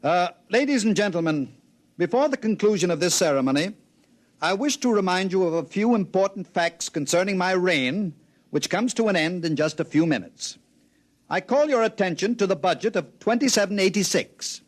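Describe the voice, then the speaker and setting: A genial, pompous politician-overlord in fifties radio sci-fi. The voice is a bit high with broad swings in pitch. He talks chummily, as if always making a speech. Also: lively intonation, normal speed. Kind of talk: 1950s radio drama acting.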